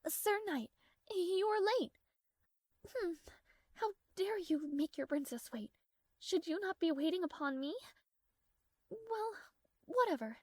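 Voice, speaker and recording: A woman's voice: very high voice, expressive, slow, long pauses, darkish tone, no echo, full character voice. A woman playing a proud, strong-willed young princess in a romantic fantasy audio roleplay, speaking formally with haughty, flustered hesitations. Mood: indignant.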